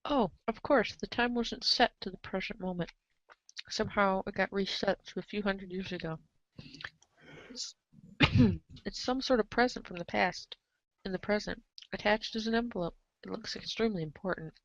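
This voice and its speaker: A woman's voice: medium pitch, normal speed, long pauses, no room sound. A woman reading scripted narration of a story in a readers' theater show. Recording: dull lo-fi microphone sound.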